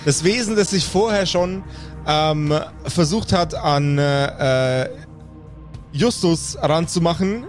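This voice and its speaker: A man's voice: a little high, slow, soft background music. A man acting as game master, improvising narration and talking through rules in a tabletop role-playing game.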